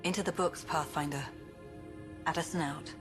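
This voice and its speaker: An actress performing a woman director of a space colony in a game cutscene. Her voice sits a little low, with clipped, formal delivery and a dry, exacting temper. Music is clearly heard behind her. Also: steady tone, normal speed, lightly acted. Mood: formal, commanding. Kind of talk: video game cutscene acting.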